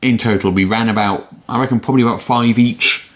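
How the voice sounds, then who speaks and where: A man's voice: mid-range voice, fairly even intonation, a little fast. A British man, a podcast host, talking in a casual, conversational way.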